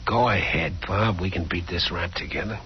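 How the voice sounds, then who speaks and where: An actor playing a cornered killer crook bargaining in a 1940s radio drama, on a muffled, noisy old recording. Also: male voice, sits low, normal speed, natural voice. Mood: tough, urgent.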